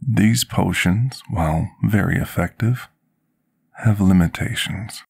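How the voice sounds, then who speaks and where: A man playing a devoted, apologetic potion master in a fantasy ASMR roleplay, speaking in a formal, measured, deferential way with a husky, gravelly voice. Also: sits low, a little mellow, exaggerated voice. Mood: patient, instructive. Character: devoted, apologetic male potion master tending a dragon patient.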